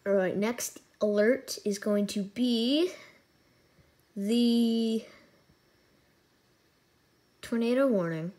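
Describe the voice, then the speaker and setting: A woman's voice: fairly high pitch, very slow, pauses often, warm tone. A woman hosting a casual hands-on gadget demonstration, talking informally and muttering asides to the device. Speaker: hobbyist video host. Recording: noisy, with alert tones and sirens. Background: emergency alert tones and sirens.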